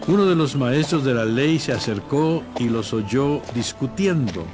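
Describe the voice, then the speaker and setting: A man narrates a scripture drama from a script. His voice is average in pitch, and music plays clearly beneath it. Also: expressive, unhurried. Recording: music playing behind.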